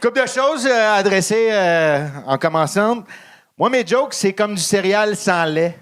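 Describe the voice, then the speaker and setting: Young anglophone guy, Quebec accent, deadpan dark stand-up, slightly high voice, hesitant delivery. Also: smooth. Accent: English-speaking Quebecer speaking French. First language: English. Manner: halting with many ums, deadpan dark jokes. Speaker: stand-up comedian. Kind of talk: stand-up set.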